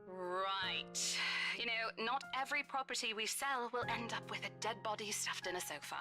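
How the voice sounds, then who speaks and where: A woman's voice: on the high side, moderate pace, close to an everyday voice. A woman voicing a sassy, impatient young real estate agent in a game, teasing and chatty, with music behind her. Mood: dismissive, teasing. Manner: teasing, chatty, dismissive of spooky rumors. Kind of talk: visual novel game voice acting.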